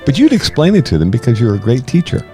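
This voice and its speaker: A man's voice: medium pitch, huge pitch swings, fast, warm tone, faint music behind. A man co-hosting a podcast, presenting and reading verse in a storytelling style. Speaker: podcast co-host.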